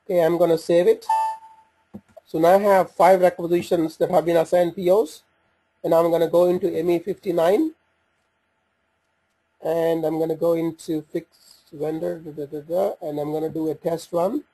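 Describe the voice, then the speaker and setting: A man with a slightly husky voice giving an unscripted software walkthrough, hesitant and thinking aloud as he goes. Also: fairly high pitch, steady tone, normal speed, pauses often, rough recording. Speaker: software demonstrator. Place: voice-over on a screen recording.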